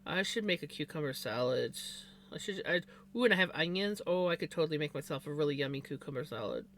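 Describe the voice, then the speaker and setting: Chatty streamer with a bright, forceful voice, rambling on live with a faint hum underneath. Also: mid-range voice, lively intonation, fast. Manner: rambling and chatty, many fillers and restarts. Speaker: livestreamer. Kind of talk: casual chat on a live stream.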